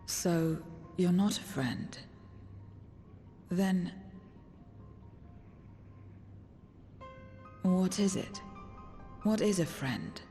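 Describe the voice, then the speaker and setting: A woman's voice: on the low side, lively intonation, takes it slowly, mellow. A woman voicing an aloof, world-weary female void creature in a video game cutscene, speaking in solemn, terse phrases. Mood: questioning. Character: aloof, world-weary female voidsent returned to true form. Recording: music behind.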